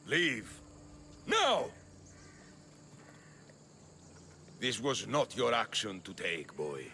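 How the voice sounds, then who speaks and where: An actor playing a scheming, self-righteous political demagogue in a video game, gravelly and theatrical, with a condescending manner. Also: male voice, fairly low pitch, wildly swooping pitch, takes it slowly, bright, forceful tone, slight background noise, exaggerated voice. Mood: scornful, commanding. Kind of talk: video game cutscene acting.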